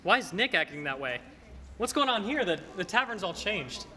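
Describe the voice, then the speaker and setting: A man's voice: sits high, big pitch swings, moderate pace, sharp tone, heavily acted. A teenage man acting a bewildered, stammering, agitated family man in a radio play. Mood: unsettled, friendly. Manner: stammering, flustered. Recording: noisy echoey hall stage recording.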